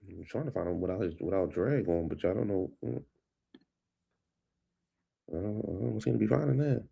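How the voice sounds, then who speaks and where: Man, low gravelly voice, congested and hoarse-ish, rambling casual vlog chat. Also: lively intonation, normal speed, dark tone. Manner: rambling, loose and chatty, calls listeners baby. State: stuffy nose, losing his voice. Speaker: vlog host. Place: at home at a computer.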